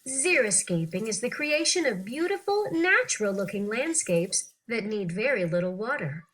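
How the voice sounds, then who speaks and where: A woman reads aloud to young learners with an animated, teacherly delivery. Her voice is fairly high and bright, with lively swings in pitch and clearly shaped phrases. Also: normal speed. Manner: animated, clearly phrased, acting out questions and answers. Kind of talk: expressive read-aloud of an interview text for children.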